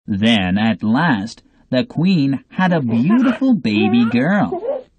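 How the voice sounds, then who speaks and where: Male fairy-tale narrator: very unhurried, lively intonation, scripted delivery for language learners. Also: mid-range voice, breathy edge, highs cut off. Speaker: storybook narrator.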